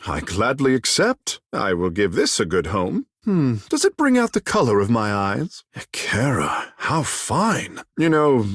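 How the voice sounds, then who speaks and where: Vain, theatrical young demigod with wildly swooping pitch. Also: male voice, husky, no room sound, clean studio sound, heavily acted. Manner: theatrical, haughty, playful. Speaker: video game companion character. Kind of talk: scripted game voice lines and battle barks.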